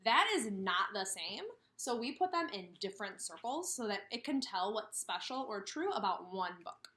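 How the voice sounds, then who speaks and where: Bright, animated woman teaching young children. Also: mid-range voice. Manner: expressive, with questions and emphatic pauses. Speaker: kindergarten teacher. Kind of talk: instructional read-aloud for young children. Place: indoors, room with a brick wall.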